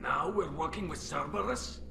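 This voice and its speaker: A man's voice: a little high, moderate pace, creaky, background noise. An actor playing a hostile, distrustful male alien soldier in a video game, sceptical and combative. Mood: sceptical, scornful. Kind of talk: cinematic video game dialogue. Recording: echoey, music behind.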